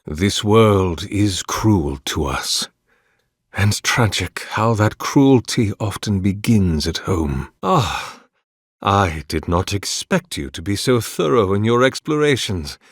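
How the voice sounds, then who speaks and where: An actor playing an ancient, devout priest in a video game, speaking with weary, formal, melancholy courtesy. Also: male voice, low-pitched, breathy edge, no echo, studio quality, full character voice. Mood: coolly evasive. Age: older. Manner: formal, measured, eloquent, solemn. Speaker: priest of a temple relic. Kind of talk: scripted video game dialogue.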